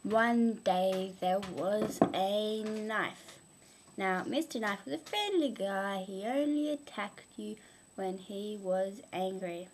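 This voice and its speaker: A woman's voice: medium pitch, expressive, takes it slowly, a little hiss or hum. A young woman telling improvised, humorous moral stories informally, with a playful, mock-instructive manner. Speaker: amateur storyteller.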